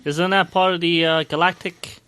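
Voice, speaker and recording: A man's voice: sits high, steady tone, normal speed, bright, forceful tone, clean tone, no echo. Chatty man on a casual podcast. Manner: chatty, repeats words and phrases. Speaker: podcast co-host. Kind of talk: casual panel chat about comic books.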